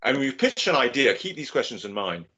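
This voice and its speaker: A man giving a measured, steady webinar lecture over a video call. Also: medium pitch, husky. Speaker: guest lecturer. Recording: dull lo-fi video call audio.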